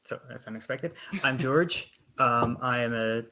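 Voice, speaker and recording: A man from New Jersey introduces himself as a game designer. He speaks hesitantly, pausing on "um" between short phrases. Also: mid-range voice, pushed and bright, slight background noise. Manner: hesitant, pauses with "um". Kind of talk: casual interview chat.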